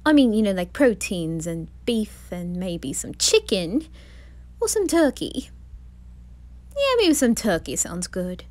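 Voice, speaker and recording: A woman's voice: medium pitch, big pitch swings, slow, a little mellow. A woman voicing a flamboyant, gushing male grim reaper with a flirty, sing-song delivery in an ASMR roleplay. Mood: chatty, coaxing.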